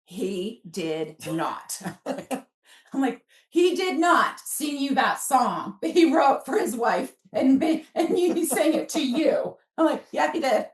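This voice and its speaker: A woman's voice: high-pitched, slow pace. A middle-aged woman chatting casually as a podcast guest, telling her own story and acting out remembered dialogue. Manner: animated retelling with quoted dialogue. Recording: roomy, echoing room sound.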